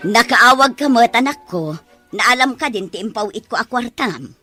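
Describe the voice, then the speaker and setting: A woman's voice: a little high, lively intonation, normal speed, bright, forceful tone, faint music behind. A woman acting a questioning middle-aged mother with a Filipino accent in an Ilocano audio drama. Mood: suspicious, startled.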